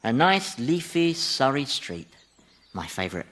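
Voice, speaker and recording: An actor playing a sly, gleefully malicious Devil in a radio comedy, urbane and teasing, with a chuckling, mock-innocent manner. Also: male voice, mid-range voice, sing-song, unhurried, a bit bright. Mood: gleefully amused. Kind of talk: radio sitcom acting.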